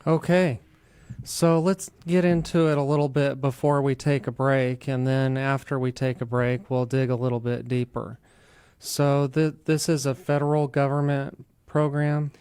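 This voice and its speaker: A man's voice: medium pitch, flat delivery, a little slow, a little mellow, clear, resonant tone, no room sound. A man hosting a radio interview, asking short, casual questions. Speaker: radio host.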